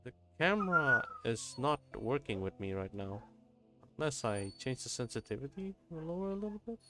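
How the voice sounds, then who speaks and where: A man chats casually as he streams a game, thinking out loud and repeating himself. His medium-pitched voice moves around a lot, with animated rises and drops. Light music sits behind him. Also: moderate pace. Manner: informal, muttering to himself, repeating phrases. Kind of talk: casual livestream gameplay chat.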